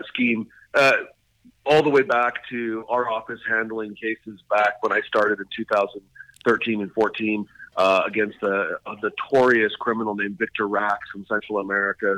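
Bright-voiced middle-aged man, halting speech. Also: fairly low pitch, dry recording, low-quality recording. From Hawaii and Southern California. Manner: halting, many fillers and restarts. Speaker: state attorney general. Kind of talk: radio interview guest.

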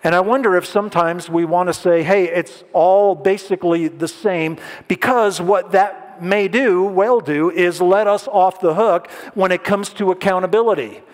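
A pastor giving a conversational sermon, asking the audience questions and making light jokes. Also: male voice, high-pitched, normal speed, few pauses. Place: large hall with an audience. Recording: long hall reverb.

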